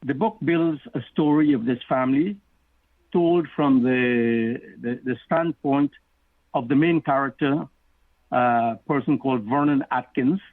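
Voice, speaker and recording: A middle-aged man from Guyana, an author answering interview questions in a measured, explanatory way. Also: medium pitch, no echo. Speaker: author and guest. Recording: tinny phone line.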